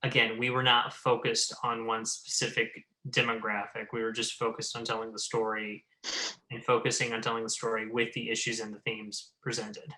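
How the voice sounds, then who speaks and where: Bright-voiced man in casual panel talk. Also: mid-range voice, monotone, moderate pace, low-quality recording.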